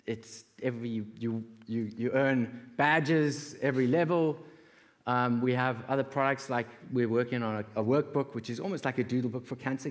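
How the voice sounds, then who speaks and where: South African man speaking in a reverberant hall. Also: medium pitch. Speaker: conference speaker, creative entrepreneur. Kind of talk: live stage talk, personal storytelling. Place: large indoor auditorium stage.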